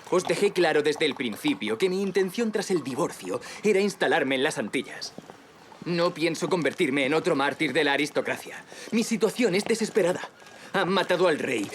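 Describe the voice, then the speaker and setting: An actor playing a cold, bitter marquis of revolutionary France in an audio drama, speaking in a haughty, cutting, formal manner. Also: male voice, fairly high pitch, fairly bright tone, a little hiss or hum. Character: cold, bitter marquis fleeing the guillotine, divorced husband. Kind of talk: period audio drama acting.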